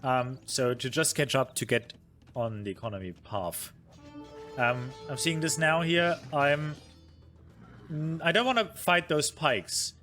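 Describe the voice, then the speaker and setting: A man's voice: on the high side, unhurried, pushed and bright, soft background music. A man streaming a review of his own strategy game match, thinking aloud with many fillers, being hard on himself and answering his chat. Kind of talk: casual game commentary.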